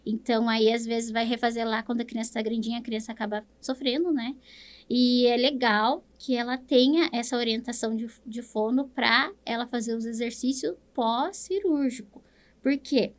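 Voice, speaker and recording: A woman's voice: fairly high pitch, steady tone, moderate pace. A woman with a Brazilian Portuguese accent, a speech therapist explaining things patiently in an interview, often checking in with a tag question. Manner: explains patiently, ends phrases with "né?".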